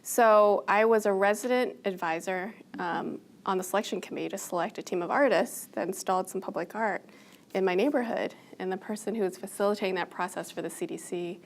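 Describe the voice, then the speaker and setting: A woman's voice: medium pitch, a little fast. An American woman from Boston answering as an interview guest, explaining things reflectively in a conversational way. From Boston, United States.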